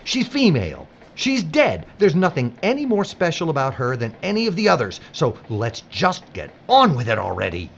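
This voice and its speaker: A man's voice: on the high side, huge pitch swings, normal speed, gravelly, hardly any pauses, muffled recording, slight background noise, exaggerated voice. A man playing a weary, conscientious younger prisoner on a grim work detail, earnest and exasperated, in an audio drama. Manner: earnest, exasperated, plain-spoken. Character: weary, conscientious younger male prisoner working at processing bodies.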